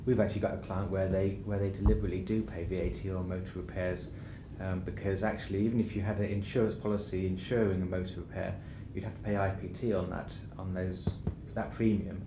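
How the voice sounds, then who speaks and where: A man's voice: sits low, flat delivery, fast, few pauses. A man co-presenting a conference session, talking plainly and practically as he walks through worked examples. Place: conference room. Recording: echoey room with background noise.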